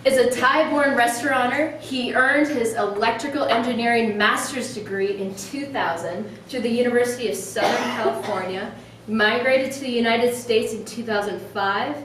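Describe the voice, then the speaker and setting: A woman with a fairly high voice welcomes a group as host and introduces a speaker. She is heard from some distance in a very echoey room, with faint noise. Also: moderate pace, fairly bright tone. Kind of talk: welcome speech, partly read introduction. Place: indoor meetup room.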